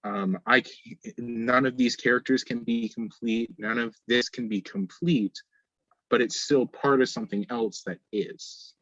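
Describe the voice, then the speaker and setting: A man's voice: mid-range voice, fairly even intonation, a little slow, a bit bright, no room sound, lo-fi sound. A man speaking in an earnest, reflective way as a writer discussing his own stories. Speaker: storyteller and writer.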